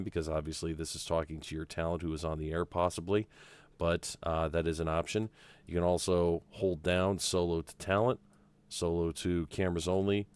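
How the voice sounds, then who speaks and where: Low smooth guy voice doing a laid-back tech demo, explains stuff informally. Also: dry recording. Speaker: broadcast program instructor giving a demo. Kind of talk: casual tech walkthrough.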